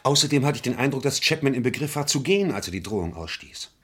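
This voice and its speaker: A man's voice: medium pitch, sing-song, quick pace, a bit bright, breathy edge. An actor playing an evasive male murder suspect, defensive and hesitant as he pleads to be believed, in a vintage German crime radio play. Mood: desperate, defeated.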